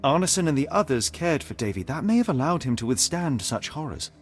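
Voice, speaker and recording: A man playing a composed, sharp-minded detective in a video game, speaking with precise, measured, analytical authority. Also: a little high, sing-song, soft background music. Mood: gentle, coaxing. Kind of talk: dramatic detective game dialogue and deduction narration.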